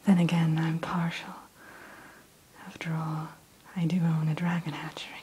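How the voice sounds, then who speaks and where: A woman in an ASMR roleplay who whispers gently and courteously as the keeper of a dragon hatchery. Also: low-pitched, level tone, moderate pace, breathy edge.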